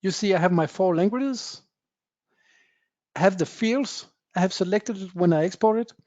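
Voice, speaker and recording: Man giving a software demo. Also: high-pitched, normal speed, no room sound. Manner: plain explanatory talk with frequent uh fillers, non-native phrasing. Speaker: software presenter. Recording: dull dry webinar audio.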